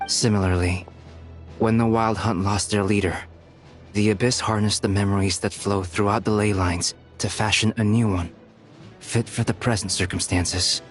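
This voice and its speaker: An actor playing a composed, clinical scholar in a video game, lecturing in a formal, methodical way. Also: male voice, fairly low pitch, fairly even intonation, normal speed, soft background music, natural voice. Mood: calm, didactic.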